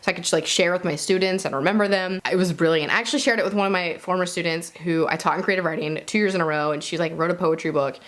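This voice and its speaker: A woman's voice: on the low side, fairly even intonation, fast talker, hardly any pauses. A woman talking casually and informally to viewers as a reviewer, peppering her speech with "like". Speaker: book reviewer and video host. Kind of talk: casual monthly reading wrap-up to viewers.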